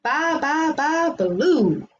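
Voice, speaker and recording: A woman's voice: high-pitched, lively intonation, drawn-out words, highs cut off, slight background noise, pitched above the performer's own voice, exaggerated voice. A woman reading a storybook, voicing a friendly, easygoing blind man who savors his words. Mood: dreamy, savoring.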